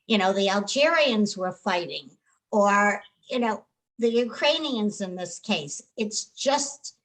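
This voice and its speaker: A woman's voice: mid-range voice, rough recording. A woman joining a film discussion by video call, speaking earnestly and emphatically. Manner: earnest, emphatic, uses "you know" a lot. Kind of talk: casual group discussion.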